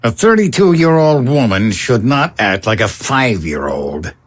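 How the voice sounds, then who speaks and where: An actor playing a grumbling, reluctant, henpecked husband in an audio drama, exasperated and sarcastic. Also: male voice, mid-range voice, big pitch swings, measured pace. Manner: exasperated, sarcastic, mildly coarse.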